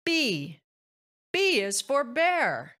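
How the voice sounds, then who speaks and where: A woman narrating a picture book for very young children, addressing them directly and pronouncing things deliberately. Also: high-pitched, wildly swooping pitch, fairly bright tone. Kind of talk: children's read-aloud, addressing young listeners.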